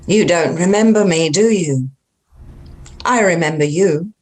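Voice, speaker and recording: A woman's voice: on the low side, a little slow, a little mellow, lightly acted. Woman playing a sinister, grandiose ancient female creature. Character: sinister ancient female thing, cultic acolyte of cosmic gods, grandiose, taunting. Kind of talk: dramatic horror table read.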